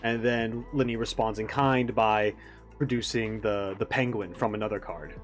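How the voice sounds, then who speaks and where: A man's voice: fairly low pitch, fairly even intonation, normal speed. Man giving casual commentary over faint music. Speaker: reaction video host. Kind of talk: casual off-the-cuff reaction commentary.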